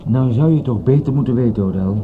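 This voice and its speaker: An actor playing a shrewd police inspector in a radio drama, needling and politely condescending in a dry way. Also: male voice, mid-range voice, fast, natural voice. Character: shrewd, drily teasing male police inspector. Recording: tinny, noisy old radio recording.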